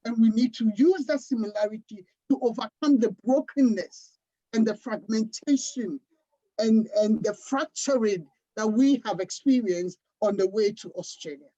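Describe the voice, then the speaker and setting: A woman from Africa speaking on a panel in an earnest, deliberate and emphatic way. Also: a little high, warm tone. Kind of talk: panel discussion talk. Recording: dull lo-fi livestream audio.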